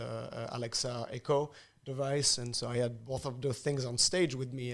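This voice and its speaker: A man with a French accent answering as an expert guest in conversation, with hesitant, rambling phrasing. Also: mid-range voice. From France.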